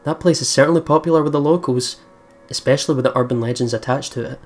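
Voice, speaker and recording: A let's play host talks casually through a visual novel, switching between reading narration and excitable commentary. The voice sits at a medium pitch, with faint game music behind it. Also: quick pace.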